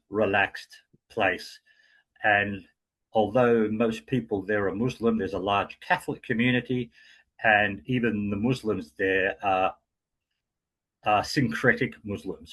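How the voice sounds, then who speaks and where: A man with an Australian accent giving an explanatory talk as guest speaker, measured and with frequent fillers. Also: lowish voice, fairly bright tone, slightly rough, low-quality recording. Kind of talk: lecture-style talk.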